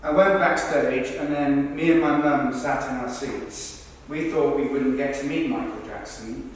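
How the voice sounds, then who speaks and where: A man's voice: mid-range voice, unhurried, close to an everyday voice. Distant, echoing male football fan played by an actor. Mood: awed. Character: enthusiastic, starstruck football fan recounting a memory. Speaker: performer of verbatim testimonies. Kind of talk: verbatim theatre monologue in rehearsal. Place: large reverberant rehearsal space, recorded from afar. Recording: very echoey hall, distant mic, faint noise.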